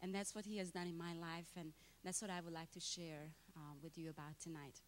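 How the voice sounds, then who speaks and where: A woman's voice: fairly low pitch, level tone, a little fast, a little hiss or hum. A woman in her thirties from Romania, speaking with a Romanian accent, shares her personal testimony at a church and often checks in with the audience. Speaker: guest speaker. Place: church sanctuary.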